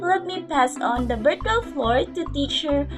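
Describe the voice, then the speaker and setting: A woman's voice: high voice, expressive, unhurried. A woman giving a lesson presentation as a teacher, partly reading and explaining simply to a class. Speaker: student presenter acting as teacher. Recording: loud music behind the voice. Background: music.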